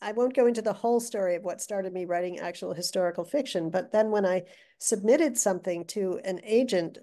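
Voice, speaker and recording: An older woman, a guest author, telling stories in a chatty, animated way with restarts, heard over a video call. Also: mid-range voice, fairly quick. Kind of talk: casual interview chat. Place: living room with brick wall. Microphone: video call webcam.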